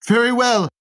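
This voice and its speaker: A man doing a hammy high-voiced stage player. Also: a bit bright, exaggerated voice. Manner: hammy, exclamatory.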